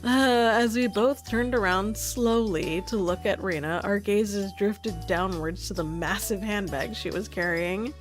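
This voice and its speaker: A woman's voice: mid-range voice, a little slow, few pauses, faint music behind, natural voice. A woman playing an easygoing, wry teenage boy who narrates his day in a visual novel game.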